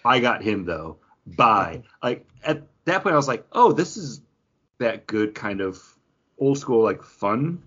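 A man's voice: medium pitch, very animated, a little slow, fairly bright tone, husky, highs cut off. A man co-hosting a podcast, talking in casual conversation as he shares his opinions. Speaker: podcast co-host reviewing comics. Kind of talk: casual chat.

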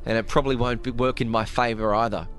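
A man's voice: medium pitch, steady tone, quick pace, a bit bright. A man co-hosting a sports radio show, rambling and full of fillers. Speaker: radio host. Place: radio studio.

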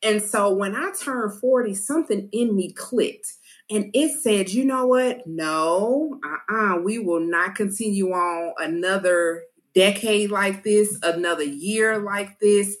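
A woman's voice: medium pitch, takes it slowly. A woman of about forty telling stories emphatically in a casual chat, voicing the quotes as she goes. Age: 40s. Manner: emphatic storytelling, acting out quotes.

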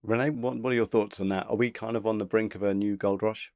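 A man's voice: fairly low pitch, fairly even intonation, quick pace, a little mellow, no echo. A male science reporter hosting and moderating a panel discussion in a conversational tone.

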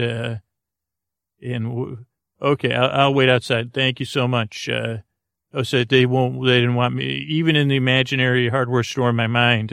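A man with a creaky voice hosting a bedtime podcast, musing aloud in a hesitant, meandering way and circling back on words. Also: medium pitch, a bit bright, no echo, studio quality. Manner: musing, asks themselves questions. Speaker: podcast host.

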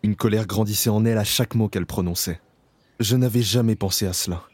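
A man's voice: fairly low pitch, quick pace, a little mellow, no room sound, lightly acted. A man playing a weary camp survivor, narrating his memories in a subdued, reflective way in an audio drama. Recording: music playing behind the voice.

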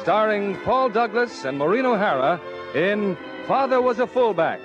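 A man's voice: high voice, very animated, normal speed. A man announcing a programme in grand 1950s radio style. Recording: dull old radio recording, loud music.

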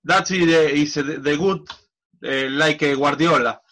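A man, a football coach, talking in a casual interview in halting non-native English with many restarts. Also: on the high side, fairly even intonation, fairly bright tone.

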